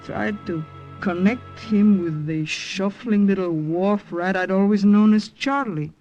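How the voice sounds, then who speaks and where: An actress playing a brave, wary young woman recruit in a 1940s wartime radio drama, with earnest, halting, dramatic delivery. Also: mid-range voice, lo-fi sound, natural voice. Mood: tense narrating. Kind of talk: 1940s radio acting and first-person narration. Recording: old radio drama with music.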